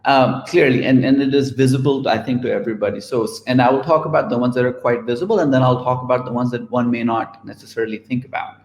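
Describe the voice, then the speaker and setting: A man speaks on a video call panel in an easy, talky way, dropping "you know" and "right?" between points. His voice sounds roomy, with a clear echo around it. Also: mid-range voice, quick pace, few pauses. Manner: relaxed, conversational, frequent "right?" and "you know". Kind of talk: online panel discussion.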